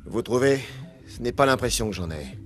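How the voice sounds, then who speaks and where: An actor playing a weary, gruff security captain in a video game, speaking in a blunt, dry, colloquial way. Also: male voice, medium pitch, lively intonation, moderate pace, soft background music, close to an everyday voice. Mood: weary, sardonic. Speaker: guard captain.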